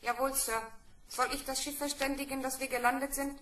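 A woman's voice: high voice, little pitch movement, brisk, fairly bright tone. A woman playing a dutiful, rule-bound female robot in a vintage science fiction radio play, speaking stiffly and formally. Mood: stiff, obedient. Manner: stiff, formal, literal-minded. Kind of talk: vintage German sci-fi radio play acting. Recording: echoey old radio play recording.

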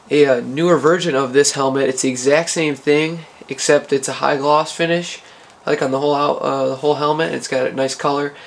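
A man casually talking through welding gear in a garage workshop, relaxed, with lots of uh fillers. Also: mid-range voice, creaky, a little hiss or hum. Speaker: hobby welder showing gear. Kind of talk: casual how-to vlog. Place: garage workshop with concrete floor.

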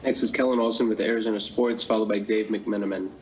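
A man moderating a press conference, calling on reporters one after another in a brisk, businesslike way. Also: on the low side, steady tone, darkish tone, a little hiss or hum, rough recording.

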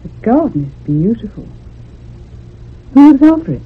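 An actress playing a depressed, lonely woman writer in her thirties, speaking in a measured, confiding in a radio drama. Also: on the high side, very animated, slightly rough, heavily acted. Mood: politely curious. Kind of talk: radio drama acting and first-person narration. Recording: muffled lo-fi old radio recording.

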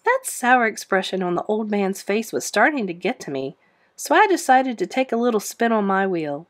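A woman narrating a children's book aloud in an expressive storybook style. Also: mid-range voice, normal speed.

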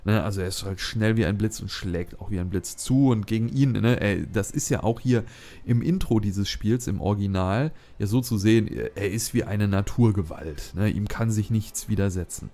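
A man's voice: fairly low pitch, normal speed, warm tone. A man hosting a let's play, chatting casually and thinking aloud with many fillers. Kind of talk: casual gameplay commentary.

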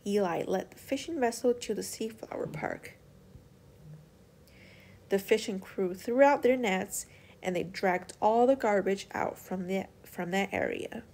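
A woman's voice: medium pitch, a little slow, creaky, a little mellow. A woman storyteller reading a children's picture book aloud as scripted narration.

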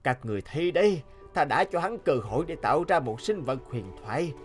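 A man plays an old supreme God from a cartoon heaven. He is calm, stern and solemn, speaking with measured authority over music. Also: fairly high pitch. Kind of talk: cartoon voice acting.

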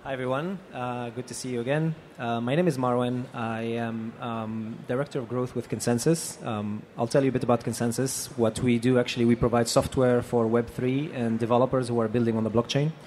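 A man in his thirties from Tunisia talks through a handheld mic on an expo hall stage. He speaks relaxed and conversational, with frequent ums, at a middle pitch. A faint hum of hall ambience sits behind him. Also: a little fast, hardly any pauses. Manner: relaxed, conversational with many fillers. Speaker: panelist. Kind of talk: conference panel talk.

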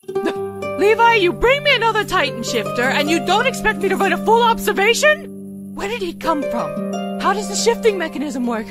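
A woman playing a manic, obsessively curious military scientist in an audio drama, with an excitable, theatrical delivery. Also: extremely high pitch, normal speed. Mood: manic, thrilled. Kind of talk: amateur audio drama acting. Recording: dramatic music under the voice.

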